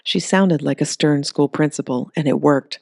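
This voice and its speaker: A woman narrating a comedic creature story in an even, steady reading voice. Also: low voice, normal speed, gravelly, no room sound, clean studio sound. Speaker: audiobook narrator.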